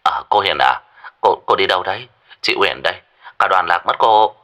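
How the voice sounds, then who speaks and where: Man as older sisterly woman caller, concerned, questioning, story dialogue, low pitch. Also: lively intonation, moderate pace, bright, forceful tone. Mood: worried. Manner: questioning, stammers when surprised.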